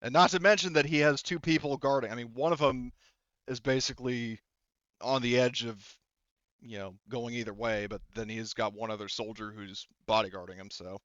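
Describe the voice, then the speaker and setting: A man works as game master for a tabletop roleplaying session, talking casually and swearing now and then. His voice is clean and resonant at an ordinary male pitch. The recording is close and dry, with a slightly muffled top end. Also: fairly even intonation, moderate pace, fairly bright tone, dry recording.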